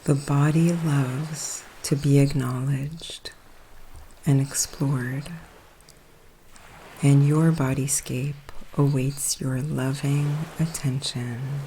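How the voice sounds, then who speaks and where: A woman guiding a relaxation meditation in a soothing, hushed, unhurried manner, with long pauses between phrases. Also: rumbling low, low-quality recording. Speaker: guided meditation coach. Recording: steady ocean surf noise behind. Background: sea waves.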